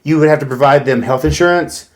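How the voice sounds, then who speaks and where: American male co-host, slightly high voice, informal, explains in stops and starts. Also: quick pace. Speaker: podcast co-host. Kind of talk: casual chat.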